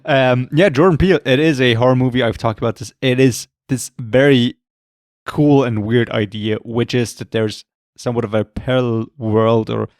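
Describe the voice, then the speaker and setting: A man co-hosting a podcast, talking casually about horror films, rambling and swearing often, with a voice going hoarse. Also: medium pitch, steady tone, moderate pace, darkish tone, no room sound, studio quality. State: losing his voice, throat clearing. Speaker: podcast co-host. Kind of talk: casual film chat.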